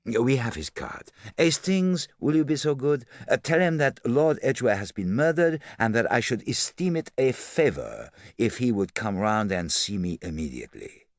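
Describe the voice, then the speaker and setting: A man voicing a fastidious older detective in an audiobook, formal and measured. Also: mid-range voice, sing-song, no echo, studio quality, voice pushed up. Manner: formal, measured, quietly self-satisfied. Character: fastidious older male detective, soft-spoken and precise. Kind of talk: audiobook character voice.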